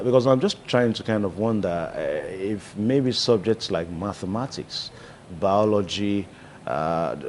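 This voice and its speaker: A man with a Nigerian accent hosting a studio discussion, asking long, measured questions with many fillers. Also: fairly low pitch, a little mellow, a little hiss or hum. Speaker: talk show host.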